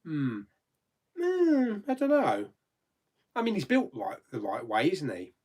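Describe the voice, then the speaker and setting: Chatty man with a lively voice. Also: on the high side, takes it slowly. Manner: chatty and rambling, reads out viewer comments, laughs easily, mild swearing. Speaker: live chat host. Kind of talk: casual live stream chat.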